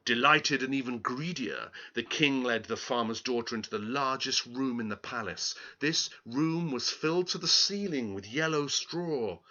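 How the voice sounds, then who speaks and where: A man telling a fairy tale aloud in a theatrical, deliberate, expressive way. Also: medium pitch, lo-fi sound. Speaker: storyteller.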